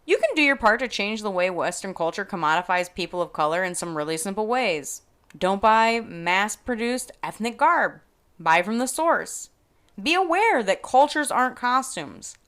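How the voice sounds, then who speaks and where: Female podcast host, scripted opinion monologue, very animated intonation, emphatic with playful asides. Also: medium pitch, normal speed.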